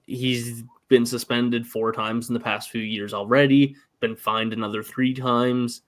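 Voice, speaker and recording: A man's voice: medium pitch, little pitch movement, measured pace, fairly bright tone. A man co-hosting a casual sports podcast, laying out the facts and then asking for his partner's views. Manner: leads the discussion, laying out the facts before asking questions. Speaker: co-host, hockey writer.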